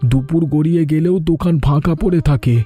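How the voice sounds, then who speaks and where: A man's voice: on the high side, a little fast, mellow, natural voice. A man voicing an anxious, humble middle-aged village sweet-shop owner in a cartoon, speaking plaintively and earnestly. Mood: worried, downcast. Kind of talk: cartoon drama dialogue. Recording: music under the voice.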